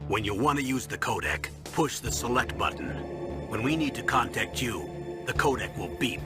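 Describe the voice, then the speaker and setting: A man playing an older military colonel in a video game, briefing in a measured, authoritative, gravelly voice. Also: animated, lightly acted. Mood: brisk instruction. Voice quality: low, gravelly. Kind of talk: dramatic video game voice acting. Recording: reverberant, with music behind.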